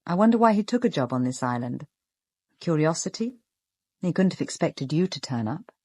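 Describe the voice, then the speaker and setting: A perceptive British woman sleuth, speaks in a slightly low voice with measured, deliberate phrasing. The sound is dry and studio-close, and her manner is calm and probing. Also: breathy edge, dry recording, exaggerated voice. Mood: understanding. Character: perceptive, composed young woman amateur detective. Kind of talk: radio drama acting.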